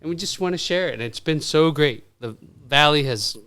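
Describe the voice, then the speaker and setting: A man from Vietnam, in his fifties, speaks as a podcast guest in a bright, ringing voice. His tone is earnest and friendly, with a very clean, smooth sound. He keeps saying "you know" as he talks. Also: a little high, expressive, brisk. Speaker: podcast guest, dentist and restaurant owner. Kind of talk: casual podcast chat.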